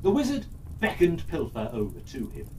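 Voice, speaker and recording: Fairytale narrator, dramatic and deliberate, frequent pauses, slightly distant mic with faint background noise. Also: mid-range voice, huge pitch swings, darkish tone. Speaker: storyteller.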